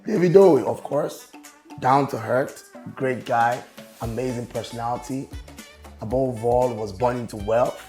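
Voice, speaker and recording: A man with a raspy voice, talking casually and confidently as a guest on an interview show. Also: medium pitch, unhurried, warm tone. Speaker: guest, business owner and show promoter. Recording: roomy echo with faint music.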